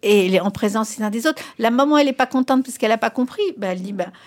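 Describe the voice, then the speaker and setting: A middle-aged woman whose first language is Spanish, answering as an expert guest in an interview. She speaks emphatically, and her pitch jumps up on key points. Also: quick pace.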